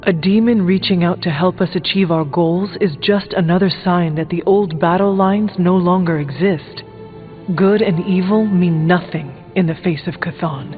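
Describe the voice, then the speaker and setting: An actor playing a resolute, guarded warrior in a video game, speaking in a serious, deliberate, blunt way. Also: male voice, high voice, soft background music, close to an everyday voice. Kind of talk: scripted video game dialogue. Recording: phone-like game audio, long hall reverb.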